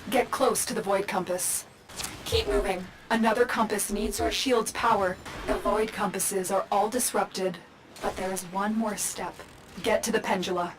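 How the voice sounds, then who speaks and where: A commanding mission guide, played by a woman, delivers firm, composed instructions. Her voice sits at a middle pitch with a faint hiss of ambience behind it. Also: steady tone, moderate pace, lightly acted. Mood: urgent. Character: calm, commanding female mission guide, protective.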